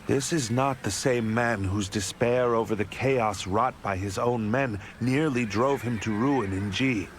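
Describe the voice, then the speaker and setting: An actor playing a proud, stern warlord in a video game, grave and formal in a declamatory martial style. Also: male voice, mid-range voice, a little slow, few pauses, slight background noise, natural voice. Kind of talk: video game cutscene acting.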